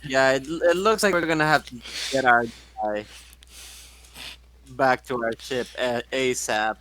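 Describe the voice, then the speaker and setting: A man's voice: medium pitch, measured pace, sharp tone. A man playing a tabletop game, chatting casually with lots of swearing and wisecracks. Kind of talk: casual profane game chat.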